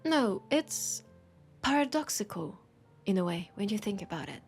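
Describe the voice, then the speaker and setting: A woman with a French accent playing a teasing, curious bookshop keeper in an intimate audio roleplay, speaking softly and musingly and often slipping toward a whisper. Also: mid-range voice, sing-song, moderate pace, vocal fry, soft background music. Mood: musing, gentle. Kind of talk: intimate audio roleplay, soft acted monologue.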